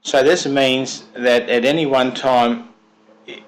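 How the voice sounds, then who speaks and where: A man's voice: medium pitch, steady tone, slow pace, low-quality recording. Man with a froggy cold voice. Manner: methodical, step-by-step explaining. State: has a cold, sounds froggy. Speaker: software developer presenting his product. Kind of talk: screen-recorded software walkthrough.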